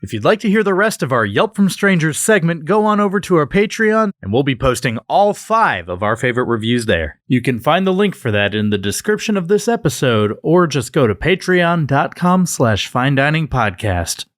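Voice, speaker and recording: A man's voice: fairly high pitch, big pitch swings, normal speed, hardly any pauses, no echo, studio quality. A man co-hosting a podcast in casual, playful, jokey review banter. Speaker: podcast co-host.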